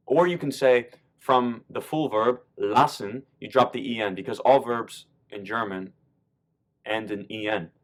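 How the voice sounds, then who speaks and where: An American man explaining song lyrics in a loose, rambling, casual way, often restarting his sentences. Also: on the low side. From United States. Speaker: YouTuber explaining German rap lyrics.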